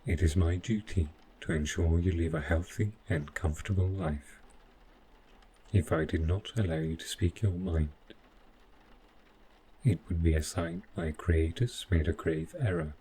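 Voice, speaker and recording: Man voicing a very deep, formal robot butler. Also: steady tone, warm tone, slight background noise, poor audio. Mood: formal, caring. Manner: formal, measured, literal, machine-like phrasing. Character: devoted, overprotective male robot butler, formal and dutiful. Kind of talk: scripted audio roleplay addressed to the listener.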